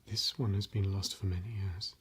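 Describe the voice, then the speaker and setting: A man softly whispering as a gentle scholar of ancient elvish lore, in an ASMR roleplay. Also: deep voice, fairly even intonation, moderate pace, husky. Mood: hushed. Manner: soft whispering. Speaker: ASMR performer.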